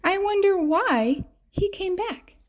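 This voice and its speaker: A woman voicing an earnest, doting little girl who tells her picture-book adventure in a wide-eyed, expressive way. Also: extremely high pitch, slow pace, pitched above the performer's own voice, full character voice. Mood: wistful, hopeful.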